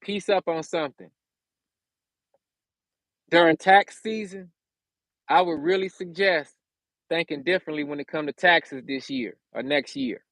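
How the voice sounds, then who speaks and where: A man's voice: fairly high pitch, unhurried, long pauses, fairly bright tone, dry recording. An American man from California talking casually on a livestream, giving slangy, conversational advice and repeating phrases for emphasis. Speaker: livestream host giving self-sufficiency advice.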